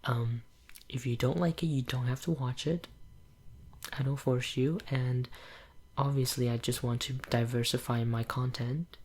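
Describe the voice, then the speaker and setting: Young woman, native Cantonese speaker, breathy whisper, close mic, rambling ASMR chat. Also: rumbling low, steady tone, measured pace. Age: young adult. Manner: soft whisper, rambling with many fillers. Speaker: ASMR channel creator. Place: home room with green screen.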